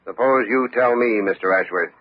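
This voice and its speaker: An actor playing a shrewd, unflappable police lieutenant in a radio detective drama, speaking methodically and with confidence. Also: male voice, on the low side, normal speed, natural voice. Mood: coolly accusing. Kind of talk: old-time radio detective drama acting. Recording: dull vintage radio recording.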